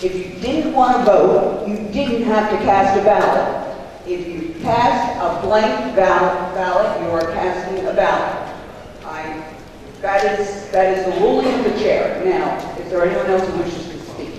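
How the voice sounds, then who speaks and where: A woman presiding over a legislative session, giving firm, brisk procedural rulings. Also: medium pitch, darkish tone. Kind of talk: formal parliamentary procedure. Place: large legislative hall. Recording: echoey chamber, distant mic, faint noise.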